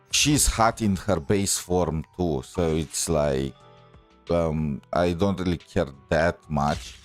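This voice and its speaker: A man doing casual, hesitant gaming commentary as a streamer, thinking aloud informally. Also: sits low. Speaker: streamer reacting to game content. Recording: music playing behind the voice.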